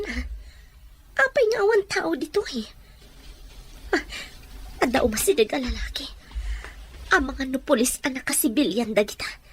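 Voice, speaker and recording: A woman's voice: sits high, fairly bright tone. A woman acting a deferential, anxious household servant in an Ilocano audio drama. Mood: wary.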